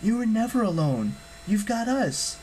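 A man voicing a spirited, bossy teenage girl adventurer in an audiobook, with a brisk and earnest manner. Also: high-pitched, sing-song, a little mellow, slight background noise, pitched above the performer's own voice, poor audio. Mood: reassuring. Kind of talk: audiobook character acting.

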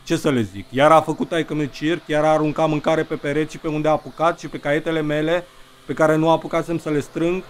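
A man's voice: fairly high pitch, fairly even intonation, natural voice. An actor playing a troubled grown son, a young man confiding in a bitter, wry, conversational way in an audio drama. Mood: bitter, ashamed.

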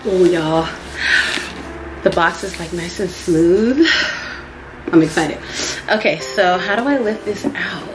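A young woman vlogging an unboxing in a small living room, chatty and excitable, a little sniffly from a cold. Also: on the low side, takes it slowly, pauses often, over music, rough recording. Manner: chatty and excitable, addresses viewers as y'all. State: sniffly, getting over a cold. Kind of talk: casual unboxing vlog.

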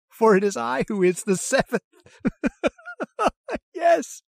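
A man's voice: extremely high pitch, lively intonation, slow pace, creaky, dry recording, studio quality, full character voice. A man playing a mad, pious murderer who preaches scripture with menace in an old-time radio horror drama. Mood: mad ecstasy. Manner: preachy, hushed and menacing, swelling to ranting. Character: mad murderous male lodger, pious, scripture-quoting zealot.

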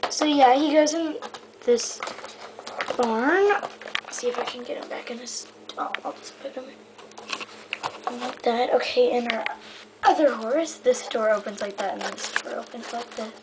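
A woman giving a casual, rambling show-and-tell in a hesitant, halting way that often trails off. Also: on the high side. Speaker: hobbyist giving a toy horse stable tour. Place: indoors in a room at home. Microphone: handheld camera held close by the speaker. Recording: noisy amateur home camera recording.

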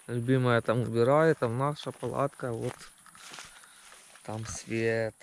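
A man's voice: medium pitch, fairly even intonation, slow, slight background noise. A man narrating a casual camping vlog outdoors, talking to viewers. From Ukraine. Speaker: camping vlogger. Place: outdoors at a forest campsite.

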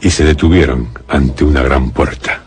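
A man narrating a suspense radio drama in a deliberate, solemn, dramatic way. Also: very deep voice, steady tone, husky. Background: faint music.

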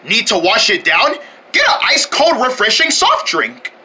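A performer voicing an exuberant commercial announcer, pitching toys and meals to children in a salesy way. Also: huge pitch swings, measured pace, sharp tone, slightly rough, heavily acted. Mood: upbeat. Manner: salesy, listing product features. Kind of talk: promotional ad read in play-acting.

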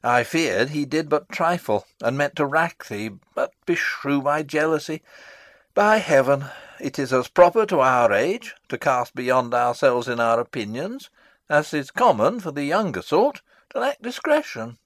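An actor playing a fussy, self-assured older royal counsellor in a classical drama reading, wordy and pompous. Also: male voice, fairly high pitch, big pitch swings, a little slow, a bit bright, dry recording, exaggerated voice. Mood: urgent, regretful.

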